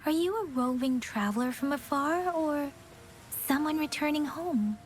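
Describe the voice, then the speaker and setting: A woman playing a calm, caring young female scout in a video game, soft-spoken, measured and polite. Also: high voice. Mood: calm, serious. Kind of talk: video game character voice acting. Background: background game music.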